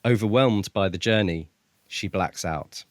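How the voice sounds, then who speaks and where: A man's voice: deep voice, unhurried, no echo. A man co-hosting a podcast, recapping and casually discussing a book. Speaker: podcast co-host. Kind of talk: casual chat.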